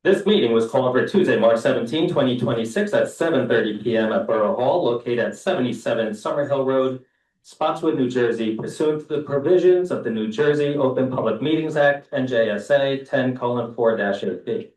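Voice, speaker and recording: A man's voice: medium pitch, darkish tone. A man chairing a council meeting as council president, reading the formal opening statement and introducing speakers. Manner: formal, measured announcements. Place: council chamber. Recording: echoey room from far off.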